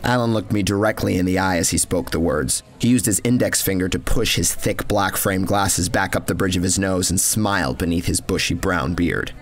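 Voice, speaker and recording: A man reading a scripted first-person horror story in a measured, dramatic and cynical manner. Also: sits low, soft background music. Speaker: narrator. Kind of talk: scripted first-person horror fiction narration with acted dialogue.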